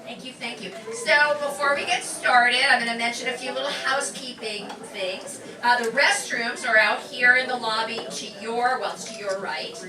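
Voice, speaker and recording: A woman's voice: fairly high pitch, steady tone, normal speed, few pauses, crowd noise. A woman teacher giving a chatty, upbeat welcome and announcements to a live audience, full of fillers. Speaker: class instructor welcoming an audience. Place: community center performance room. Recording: echoey room from far off.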